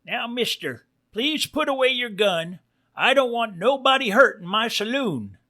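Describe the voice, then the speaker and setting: High-pitched, folksy western pig barkeep. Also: male voice, expressive, measured pace, bright, forceful tone, full character voice. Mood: calm warning. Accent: American. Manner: folksy old-west drawl, deferential. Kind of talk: cartoonish western storybook character voice.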